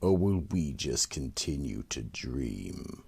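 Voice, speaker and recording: A man playing a sinister horror-anthology narrator, the Keeper of the Vault, with a deep gravelly rasp and slow, ominous delivery. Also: big pitch swings, darkish tone, exaggerated voice. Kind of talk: 1940s-style radio horror narration.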